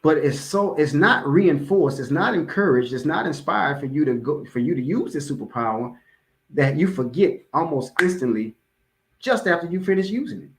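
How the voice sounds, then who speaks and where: A man's voice: fairly high pitch, animated, moderate pace. An American man giving an informal motivational sermon, repeating key phrases for emphasis and checking in with his listeners conversationally. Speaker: religious speaker giving a public address.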